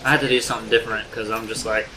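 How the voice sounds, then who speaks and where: A man's voice: lowish voice, flat delivery, racing, over music, low-quality recording. A young man chatting casually while playing a video game, swearing a lot and flaring into frustrated rants. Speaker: let's play commentator. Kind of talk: casual gaming chat.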